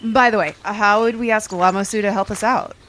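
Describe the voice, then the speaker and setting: A woman's voice: mid-range voice, steady tone, a little fast, fairly bright tone, close to an everyday voice, low-quality recording. A laid-back, skeptical sidekick, played by a woman, speaks in a mid-range voice. The delivery is casual and dry, in audio drama style, with faint noise behind it. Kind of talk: scripted audio drama acting.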